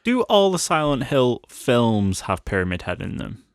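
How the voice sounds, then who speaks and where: A man's voice: medium pitch, sing-song, normal speed, smooth, no echo, clean studio sound. A man co-hosting a podcast, talking about films in an informal, conversational way. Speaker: podcast co-host. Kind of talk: casual film discussion.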